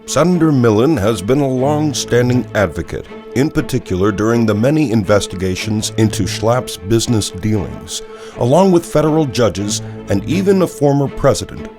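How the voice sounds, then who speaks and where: An actor playing a serious, polished television newsmagazine anchor, narrating with measured, dramatic emphasis. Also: male voice, medium pitch, animated, music in the background, natural voice. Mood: grave, measured. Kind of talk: scripted broadcast news narration.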